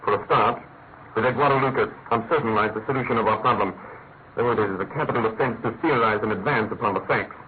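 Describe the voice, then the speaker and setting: An actor playing an incisive, commanding British detective in a classic radio mystery, speaking in an emphatic, articulate way. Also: male voice, lowish voice, fast talker, close to an everyday voice. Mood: probing, wry. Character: incisive male detective, brisk, commanding, theatrically analytical. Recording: tinny, noisy old radio recording.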